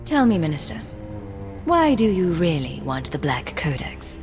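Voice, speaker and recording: A self-possessed female spy with a low, controlled voice in a long reverb. She is guarded, serious and clipped, a dramatic video game heroine. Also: sing-song, a little slow. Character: cool, resolute female secret agent, self-possessed and guarded. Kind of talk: scripted dramatic game acting. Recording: reverberant hall, music behind.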